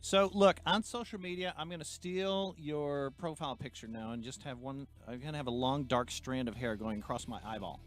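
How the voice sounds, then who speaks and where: A man's voice: medium pitch, normal speed, hardly any pauses. A man co-hosting an interview show, enthusiastic and rambling, restarting sentences and starting with "look". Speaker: co-host. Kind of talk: casual interview chat.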